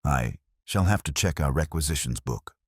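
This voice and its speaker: Storybook archive official, read by a man: guarded, polite, careful, formal, very low-pitched, close dry sound. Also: lively intonation, normal speed, breathy edge, dry recording, full character voice. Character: guarded, courteous male senior records official. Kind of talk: audiobook character reading.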